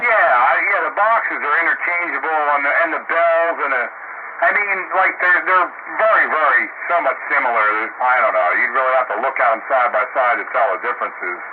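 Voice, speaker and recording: An American man talks casually in a plain, colloquial way, like a hobbyist on the air. His middling-pitched voice comes through a narrow radio channel with clear static noise. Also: lively intonation, a little fast. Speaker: amateur radio operator. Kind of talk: casual technical chat over radio.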